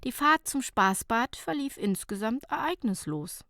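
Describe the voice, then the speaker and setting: A woman's voice: a little high, animated, measured pace, sharp tone, dry recording, clean studio sound. A woman narrating a light, humorous short story as an audiobook reader, in a scripted reading style.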